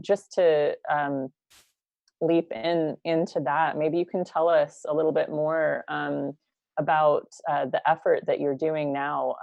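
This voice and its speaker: A woman moderating a panel conversation over a video call, speaking gently and haltingly with many fillers. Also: low-pitched, vocal fry, no echo. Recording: dry, dull video call audio.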